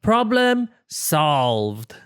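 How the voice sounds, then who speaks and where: Someone voicing a breezy, overconfident cartoon porcupine, very animated. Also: medium pitch, extremely slow, clean tone. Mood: confident, upbeat. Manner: breezy, theatrical, self-assured delivery. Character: cheerful, overconfident, silly porcupine problem solver, male.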